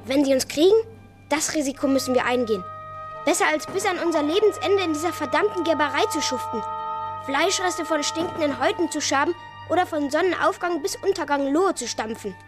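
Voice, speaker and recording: High-voiced, defiant boy. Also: animated, fairly quick, soft background music. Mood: determined, bitter. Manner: bold, outspoken, insistent. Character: defiant, determined, protective boy, a child labourer. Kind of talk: children's radio play acting.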